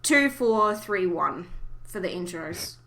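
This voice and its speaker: A woman's voice: on the low side, animated, takes it slowly, sharp tone, rough recording. A woman hosting a casual fan podcast, chatting informally and giving blunt opinions. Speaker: podcast host.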